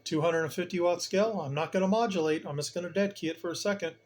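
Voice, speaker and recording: A man's voice: sits high, fast. A man giving a casual hands-on demonstration as a hobbyist host, plain-spoken and colloquial, with emphatic turns of phrase.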